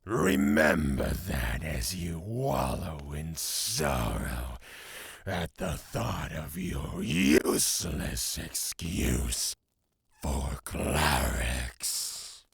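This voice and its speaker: An actor playing a menacing, scornful god of fear in a fantasy audio drama, gravelly and threatening. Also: male voice, rumbling low, big pitch swings, no room sound, exaggerated voice. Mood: scornful fury. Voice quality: gravelly, husky. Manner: slow, deliberate, threatening.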